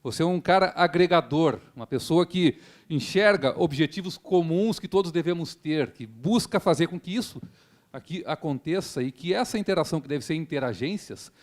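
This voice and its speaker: A man's voice: a little high, lively intonation, normal speed. A man, a public prosecutor giving a formal seminar speech in an earnest, rhetorical way with frequent tag questions. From Rio Grande do Sul, Brazil.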